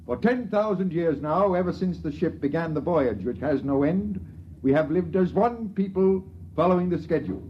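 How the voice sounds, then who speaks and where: A solemn, dogmatic priest of a starship, voiced by a man, from a vintage radio drama. His voice sits a little high and grandly proclaiming. The dull, lo-fi broadcast carries a light hiss. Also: lively intonation, normal speed, close to an everyday voice. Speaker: character in a 1950s radio play. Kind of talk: 1950s radio acting.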